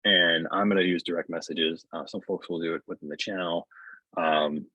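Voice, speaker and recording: A middle-aged man giving a casual webinar demo in a halting, conversational way, on dull video-call audio. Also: low voice, fairly even intonation, breathy edge, no room sound. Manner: halting, conversational, often checks with "right?". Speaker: software developer presenting his tool. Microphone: earbuds on a video call.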